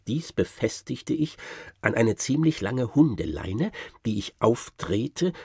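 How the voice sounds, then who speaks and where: Husky, boastful gentleman hunter spinning yarns. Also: mid-range voice, big pitch swings, slow pace, no echo, studio quality, heavily acted. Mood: boastful, relishing. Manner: ornate, self-satisfied raconteur, addressing gentlemen listeners. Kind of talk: theatrical first-person storytelling, German radio drama.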